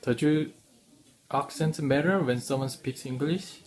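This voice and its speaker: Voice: medium pitch, a little slow, darkish tone. An interviewer asking short set questions. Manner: brief, repeats set questions.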